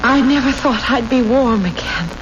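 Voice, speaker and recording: Actress doing scared young woman, high voice, stammery n breathless, muffled old radio drama. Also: moderate pace, very noisy, lightly acted. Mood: weary, confiding. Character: frightened, pleading young woman in desperate straits. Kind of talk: 1970s radio drama acting.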